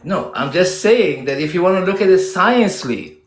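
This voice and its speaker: A man's voice: high voice, lively intonation, moderate pace. A man calling into a live debate, arguing insistently and rambling as he talks over others. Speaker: debate caller. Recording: echoey room sound.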